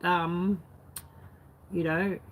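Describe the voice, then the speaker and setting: A woman's voice: lowish voice, flat delivery, extremely slow, rough recording. A woman vlogger reminiscing in a casual, unscripted way, rambling and colloquial, with lots of filler phrases. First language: English. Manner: rambling, colloquial, peppered with "you know" and "sort of". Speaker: YouTube vlogger.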